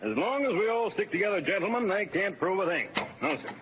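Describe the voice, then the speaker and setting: An American actor playing a smug, falsely jovial crooked political boss with an edge of menace, in old radio drama style. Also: male voice, sits high, sing-song, fast talker. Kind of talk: 1940s radio drama acting. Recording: dull, noisy old radio recording.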